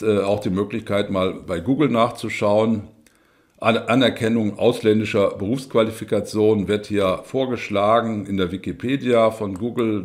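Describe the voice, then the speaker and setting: A man talking through a spreadsheet in a screen-recorded tutorial, explaining step by step with frequent fillers and tag questions. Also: fairly low pitch. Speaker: instructor.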